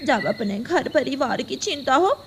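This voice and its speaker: A woman's voice: high voice, expressive, measured pace, very noisy. A woman voicing a worried, reproachful mother crow and wife, earnest and admonishing, in a cartoon moral story. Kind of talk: acted dialogue in Hindi moral cartoon story.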